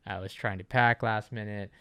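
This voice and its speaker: A man's voice: fairly low pitch, fairly even intonation, normal speed, a bit bright, clear, resonant tone, no echo. A man from Japan talking casually to viewers as a vlogger, in a conversational, wordy way that often rephrases itself. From Japan, Okinawa. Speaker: vlogger, channel host.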